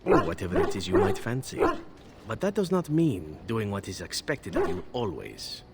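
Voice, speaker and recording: Suave, low-voiced roguish elf assassin. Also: male voice, huge pitch swings, normal speed, vocal fry, background noise, heavily acted. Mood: wry, nonchalant. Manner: suave, wry, theatrical. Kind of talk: video game character acting.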